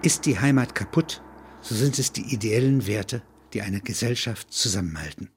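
A man reading scripted literary narration in an audio drama, measured and matter-of-fact. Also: fairly low pitch, lively intonation, slight background noise.

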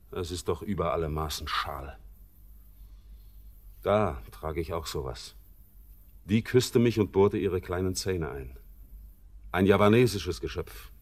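Brooding young seaman played by a man, low and slow, bitter, classic radio drama. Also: darkish tone. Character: bitter young male sailor returned home, brooding. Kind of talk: classic German radio play acting.

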